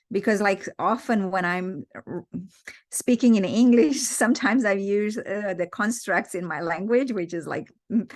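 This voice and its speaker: A woman whose first language is Romanian, a participant asking hesitant questions on a call while searching for words. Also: on the low side. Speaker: training participant.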